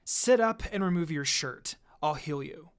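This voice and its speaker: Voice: mid-range voice, lively intonation, a little slow. A reader voicing a guarded, blunt young female ninja who teases and commands in a terse, dry manner. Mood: angry.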